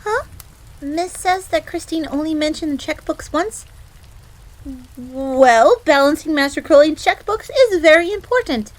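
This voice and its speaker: High-pitched, huffy fox maid. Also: female voice, expressive, measured pace, poor audio. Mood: defensive, huffy. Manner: indignant, stammering when flustered, refers to herself in third person. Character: flustered, jealous fox maid, haughty yet self-deprecating tsundere servant. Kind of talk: roleplay audio drama.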